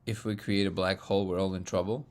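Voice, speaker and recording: A man with a low, booming voice chats loosely on a live stream, answering viewers' questions one after another. His tone has a gravelly rasp, and he laughs easily between thoughts. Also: fast. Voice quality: loud booming voice. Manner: excitable, laughs often, reads chat aloud. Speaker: livestream host answering chat. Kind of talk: casual live-stream chat.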